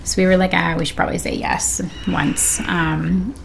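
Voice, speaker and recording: A middle-aged American woman chatting casually and animatedly about family life, quick to laugh. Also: on the low side, little pitch movement, vocal fry, slight background noise. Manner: chatty, laughs easily, quotes people animatedly. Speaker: guest on a sibling's game stream. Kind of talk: casual chat, telling family anecdotes.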